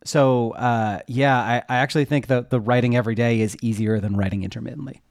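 A man with a mid-low voice answers as an interview guest, recorded very dry. He talks casually and loosely, often cutting into his own sentences as he thinks aloud. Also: fairly even intonation, fairly quick, darkish tone, no echo. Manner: informal, rambling, self-interrupting. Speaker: interview guest, web developer and newsletter writer.

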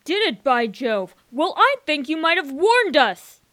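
A woman playing an excitable, bumbling young upper-class gentleman in a radio drama, full of flustered old-fashioned slang. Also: high-pitched, a little slow, full character voice. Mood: agitated.

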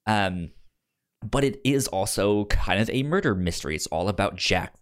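A podcast co-host chatting casually about pop culture, often repeating syllables and stumbling over words, with animated emphasis. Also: low voice. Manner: halting speech with repeated syllables and stammered words.

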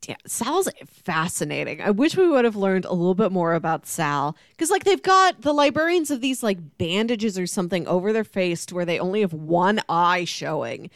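A woman's voice: on the low side, sing-song, normal speed, hardly any pauses, no room sound, studio quality. A woman chatting casually as a guest co-host, informal and reflective in manner. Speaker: guest co-host discussing books. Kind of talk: casual chat.

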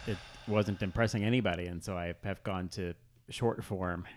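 A man co-hosting a casual pop culture chat, speaking in a halting way peppered with "like". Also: deep voice, steady tone, slight background noise. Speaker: podcast co-host.

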